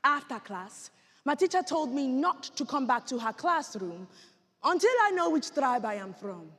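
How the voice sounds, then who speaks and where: A woman acts out a Rwandan woman who survived genocide, earnestly recounting her childhood. The voice is high and swings widely in pitch, with deliberate dramatic pauses. It rings through a hall with long reverb, as a competitive stage monologue. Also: big pitch swings, fairly bright tone, husky, heavily acted. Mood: earnest storytelling.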